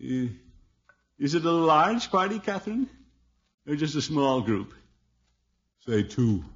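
A man's voice: on the high side, very animated, takes it slowly, fairly bright tone, husky, full character voice. An actor playing a blustery, jealous, self-important middle-aged man in a theatrical 1950s radio comedy style. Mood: anxiously prying. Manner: theatrical, blustering, with muttered asides. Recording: dull, faintly noisy vintage radio recording.

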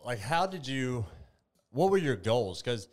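A man interviewing a gym member in a relaxed, friendly way, explaining things with frequent restarts. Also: mid-range voice, unhurried. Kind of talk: casual interview.

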